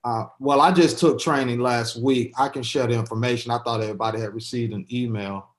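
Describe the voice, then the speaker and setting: A man's voice: mid-range voice, fairly even intonation, fairly quick. A man speaking as a board member, giving plain, informal remarks during a meeting. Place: virtual meeting call.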